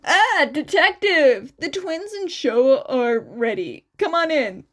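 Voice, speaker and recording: An excitable, fond uncle in a storybook reading, acted out by a woman. The voice sits high and swings widely, loud and cheerful, teasing as it goes. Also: slow, exaggerated voice. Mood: bright, announcing.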